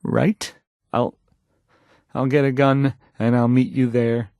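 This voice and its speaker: A man's voice: mid-range voice, little pitch movement, slow pace, darkish tone, dry recording, lo-fi sound. An actor playing a timid, awkward young male radio DJ in a video game, stammering and unsure of himself. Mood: nervous resolve. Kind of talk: video game character acting.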